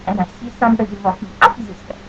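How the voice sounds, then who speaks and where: Woman, New Jersey accent, deadpan, stiff formal phrasing, muffled lo-fi recording, faint hum. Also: medium pitch, fast. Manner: stiff, overly formal phrasing, avoids contractions, deadpan silliness. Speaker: audio blogger answering a question list. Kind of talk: casual audio post.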